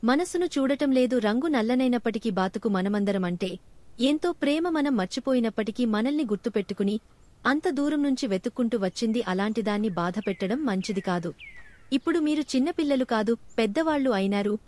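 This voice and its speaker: A woman's voice: a little high, lively intonation, brisk, darkish tone, natural voice. A woman voicing a protective older mother swan in a storybook fable, admonishing her young. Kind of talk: storybook dialogue.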